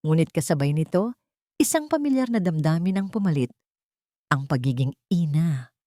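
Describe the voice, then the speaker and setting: A woman's voice: deep voice, expressive, normal speed, mellow, dry recording, clean studio sound. A woman storyteller giving scripted, dramatic narration of a story.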